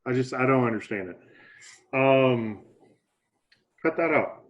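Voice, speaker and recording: A man co-hosting a casual movie podcast at an ordinary pitch. He talks in a laid-back, hesitant way, often restarting his sentences, and the recording sounds a little dull and lo-fi. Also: measured pace. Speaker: podcast co-host. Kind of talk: casual movie-review chat.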